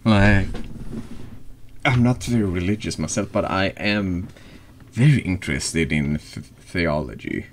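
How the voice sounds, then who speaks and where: A man with an extremely low voice speaks slowly as he streams a horror text game. His delivery is heavy with vocal fry, and there is faint noise behind him. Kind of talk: slow dramatic reading of a horror text game and casual chat.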